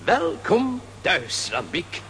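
A man playing a kindly, excitable elderly professor in a children's audio drama. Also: high voice, very slow, slight background noise, heavily acted. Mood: welcoming.

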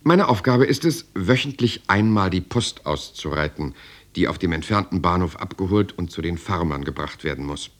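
An actor playing a reflective, solitary European writer, narrating his life in a measured, lyrical radio drama style. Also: low-pitched, lively intonation. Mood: contemplative. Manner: measured, lyrical, contemplative delivery. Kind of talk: literary first-person radio drama narration.

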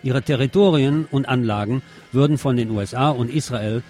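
A man's voice: mid-range voice, moderate pace, a little mellow, faint music behind. A man presenting scripted news commentary as a radio presenter, with pointed, sardonic phrasing.

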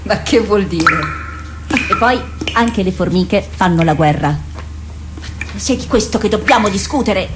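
A woman's voice: mid-range voice, lively intonation, measured pace, over music, natural voice. A woman playing a hard, pragmatic, sarcastic comrade-in-arms in a radio drama, challenging and impatient with mocking questions. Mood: stern. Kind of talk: theatrical radio drama acting.

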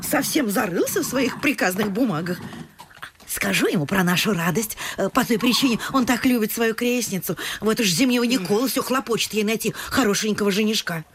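An actress playing a bustling, excitable middle-aged provincial wife and mother, gushing and bossy in theatrical radio vaudeville style. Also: mid-range voice, fairly quick, slight background noise, lightly acted. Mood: delighted.

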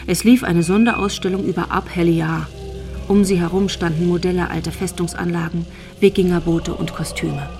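A woman's voice: lowish voice, fairly even intonation, normal speed, over music, lightly acted. A woman narrating a crime radio drama as a composed storyteller.

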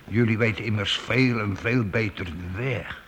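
Gravelly, stammering medieval gatekeeper. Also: male voice, fairly low pitch, a bit bright. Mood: hesitant pleading. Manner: halting, stammering, earnest. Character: talkative, anxious, pleading medieval castle gatekeeper with big belly. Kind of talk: Dutch radio drama acting. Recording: radio play with faint background noise.

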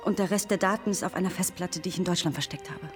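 An actress playing a resolute young activist in a radio drama, speaking earnestly. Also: female voice, lowish voice, fast, music in the background, lightly acted.

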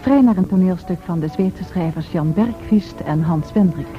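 A woman's voice: lowish voice, normal speed. A woman making a scripted title announcement for a radio play. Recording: dull radio sound under loud music.

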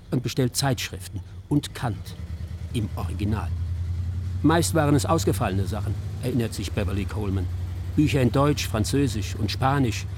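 A man narrating a scripted radio documentary in a measured, descriptive storytelling manner. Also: medium pitch, a little mellow, a little hiss or hum. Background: music.

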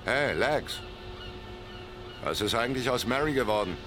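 A man's voice: mid-range voice, animated, normal speed, lightly acted. An actor playing a tough, laconic gangster recounting his rise in a German radio play. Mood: guarded. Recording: music behind studio radio play.

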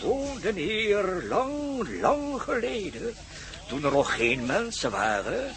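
An actor playing a solemn storyteller who recounts an ancient legend in a radio play, with deliberate, dramatic phrasing. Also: male voice, high voice, sing-song, a bit bright, soft background music, full character voice. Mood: wondrous, solemn.

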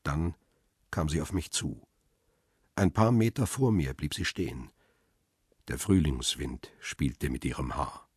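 Weary crime-drama narrator, very low pitch, husky, dry close sound, measured and subdued. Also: male voice, animated, no echo, full character voice. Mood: wistful, calm. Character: weary, lovelorn male paparazzo narrating his thriller story. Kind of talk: radio drama first-person narration.

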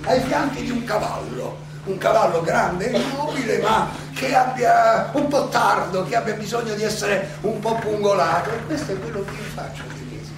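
A man acts out an aging philosopher addressing his judges in a slow theatrical monologue. He is halting and rhetorical, pausing and repeating himself for weight. The voice is gravelly and comes from far off in a noisy, reverberant space. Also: high-pitched, full character voice. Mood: grave, measured. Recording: distant, very echoey, noisy stage recording.

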